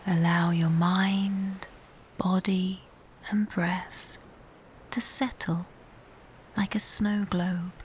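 A woman guiding a scripted meditation in a soft, breathy near-whisper, calm, soothing and unhurried with long pauses. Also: mid-range voice, low-quality recording. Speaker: meditation guide. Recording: steady background hiss.

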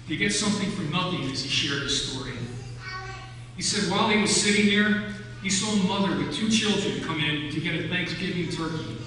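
Older man, preacher. Bright, forceful voice, measured sermon delivery, heard from far off in a reverberant church. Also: high-pitched. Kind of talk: sermon, telling stories from notes. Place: large church sanctuary, at the pulpit. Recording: echoey church hall from far off, hum.

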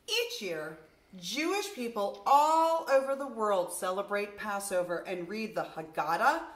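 A middle-aged woman, a nursery school teacher, reading a story aloud to young children in an expressive, deliberate way. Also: mid-range voice. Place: furnished room at home, indoors. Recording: roomy indoor echo.

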